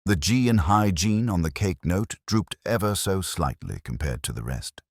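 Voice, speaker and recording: A man's voice: low voice, big pitch swings, normal speed, slightly rough, no room sound, studio quality. A man reading a scripted mystery story as a narrator. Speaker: audiobook narrator.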